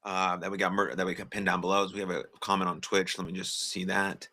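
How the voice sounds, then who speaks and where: A man's voice: low-pitched, flat delivery, quick pace, sharp tone. An American man hosting a live stream, chatting casually with viewers and reading their comments aloud, friendly and encouraging with lots of filler words. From United States. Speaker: livestream host.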